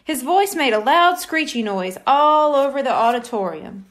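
High-pitched woman reading a children's story. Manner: expressive, deliberate storytelling. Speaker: audiobook reader. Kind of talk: scripted children's story narration.

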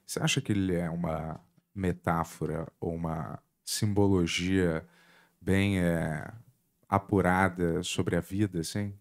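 A man's voice: deep voice, fairly even intonation, unhurried. A man in his thirties with a Carioca accent, hosting a casual podcast chat in a studio, talking loosely with lots of fillers and trailing questions. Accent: Carioca Brazilian Portuguese. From born in Portugal, raised in Rio de Janeiro. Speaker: podcast host. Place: studio with curtains, indoors. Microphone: boom mic with headphones.

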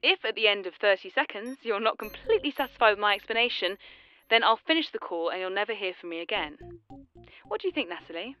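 A woman's voice: mid-range voice, expressive, smooth, highs cut off, faint music behind, close to an everyday voice. An actress playing a coldly composed, mockingly polite woman who has killed, in an audio drama. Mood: mocking, intense. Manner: measured, politely taunting.

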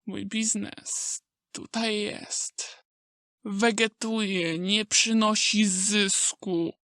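A woman playing an old male wolf in a Polish audio drama, a smooth, contemptuous schemer with a creaky voice and an insinuating manner. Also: medium pitch, little pitch movement, drawn-out words, fairly bright tone, no echo, studio quality. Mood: scornful, persuasive.

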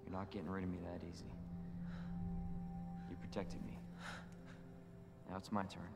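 A man's voice: deep voice, level tone, moderate pace, gravelly, over loud music. A young man acting an earnest, protective rookie policeman in a video game, understated and determined. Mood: protective, insistent. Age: young adult. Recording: noisy game ambience behind voice.

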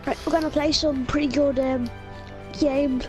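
Brief: young high-pitched gamer, teasing and bickering, game music under the voice. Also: female voice, fairly even intonation, normal speed. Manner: teasing, bickering, laughs often. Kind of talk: casual banter while gaming. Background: game menu music.

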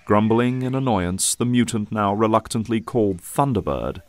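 A man's voice: fairly low pitch, moderate pace. A man reading scripted dramatic narration as the narrator of an audio drama. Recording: music bed under the voice. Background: music and effects.

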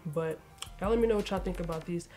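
Young woman, low-ish voice, congested, slangy and chatty, bedroom recording. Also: fast, dark tone, soft background music. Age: young adult. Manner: laid-back, chatty, slangy. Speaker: sneaker vlogger. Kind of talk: casual unboxing review. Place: bedroom with shoe shelves, indoors.

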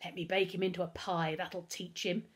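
A big fat childish man full of ghoulish appetite, performed by a woman. He blusters eagerly and excitably in a slightly rough voice, like a greedy villain in a children's audiobook. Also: lowish voice, moderate pace. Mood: gleeful. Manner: excitable, gleefully gruesome, blustering.

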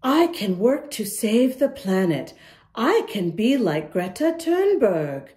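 A woman hosting a children's storytime, with a very soft-edged, mellow voice that swings widely up and down. She takes her time, phrasing each line deliberately and addressing little listeners in an encouraging way. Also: mid-range voice, very animated. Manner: encouraging, addresses young listeners directly with questions. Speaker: children's storytime reader and host. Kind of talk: expressive picture-book read-aloud to young children.

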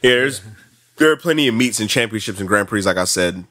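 A man's voice: on the low side, lively intonation, quick pace, pushed and bright. A man in his late twenties with an American accent, chatting casually as an interview guest, repeating himself for emphasis. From South Florida, United States. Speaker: podcast guest, track athlete.

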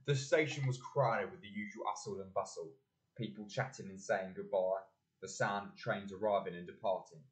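A man's voice: low-pitched, moderate pace, natural voice, low-quality recording. An older man playing a proud, encouraging father in a community audio play. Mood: proud, tender. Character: proud, encouraging working-class father, earnest storyteller.

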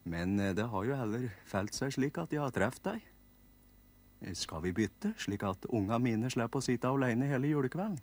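A man voicing a kindly male Christmas gnome who talks calmly and persuasively. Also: medium pitch, natural voice. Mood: coaxing. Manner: calm, coaxing.

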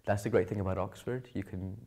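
A man's voice: fairly low pitch, fairly even intonation, fast, darkish tone. A young man from Scotland, an engineering student giving a casual interview. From Glasgow, Scotland. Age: young adult.

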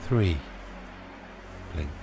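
A man narrating a guided sleep meditation in a soothing, gentle, unhurried way, leaving pauses between short phrases. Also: noisy background. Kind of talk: guided sleep meditation, hypnotic relaxation countdown. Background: music.

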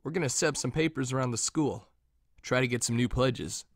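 A man's voice: mid-range voice, fairly quick, no room sound, natural voice. A man playing a brooding, self-doubting young college student in an audio drama, subdued and hesitant.

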